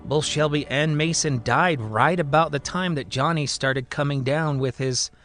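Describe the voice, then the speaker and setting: A man's voice: fairly high pitch, moderate pace, a bit bright, faint music behind. A man telling a scripted story as a podcast storyteller, with a theatrical, emphatic and suspenseful delivery. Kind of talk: dramatic scripted story narration.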